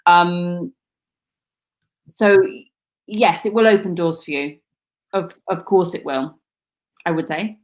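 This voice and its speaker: A woman's voice: fairly low pitch, slow pace, long pauses. A British woman working as a casting director, talking candidly in a casual Q&A with many "like"s and restarts. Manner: candid, rambling, lots of "like". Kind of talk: casual Q&A chat.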